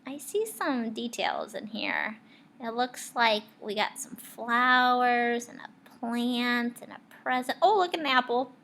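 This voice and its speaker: A woman teacher reading a picture book aloud, expressive, pausing to put questions and asides to her young listeners. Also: a little high. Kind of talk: read-aloud storytelling with asides to children.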